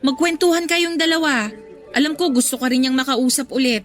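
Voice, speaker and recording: A woman's voice: high-pitched, a little fast, fairly bright tone. A young woman playing a polite, uneasy office worker in a radio drama. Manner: polite, uses respectful po.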